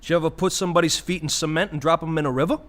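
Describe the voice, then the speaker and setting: A man's voice: on the high side, racing, a bit bright, lightly acted. An actor voicing a brash, wisecracking male vulture with streetwise, mobster-like slangy talk in a comic radio play. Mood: incredulous, teasing.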